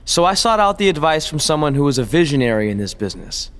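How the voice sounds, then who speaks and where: An actor playing an ambitious, determined young pro wrestler in a video game, speaking in an earnest, conversational way. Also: male voice, on the high side, big pitch swings, fast talker, a bit bright, clear, resonant tone. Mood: reflective. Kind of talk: video game cutscene dialogue and narration.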